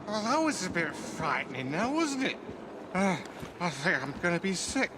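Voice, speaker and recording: A man's voice: sits high, big pitch swings, moderate pace, noisy background. An actor playing a drunken, whiny Victorian man in a video game cutscene, slurred and theatrical. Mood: queasy, pleading.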